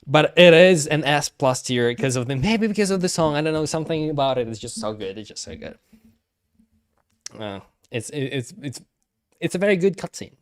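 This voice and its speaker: A man giving casual live-stream commentary, halting and repeating himself as he second-guesses his opinions. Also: a little high, lively intonation, clean tone.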